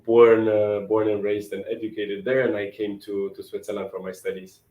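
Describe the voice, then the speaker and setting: A man in his twenties from Romania, answering interview questions in a relaxed, thoughtful way and often repeating words as he thinks. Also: on the low side, normal speed, reverberant, poor audio. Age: 20s.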